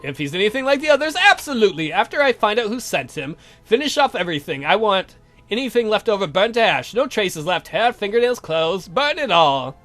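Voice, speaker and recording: An exasperated, sardonic vampire count from a horror game, acted out by a man. The voice is high for a male, bright and full of swings, with music underneath. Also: sing-song, brisk. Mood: sardonic, commanding. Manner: exasperated, sardonic, foul-mouthed. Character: irritable, sardonic male vampire count, protective head of family.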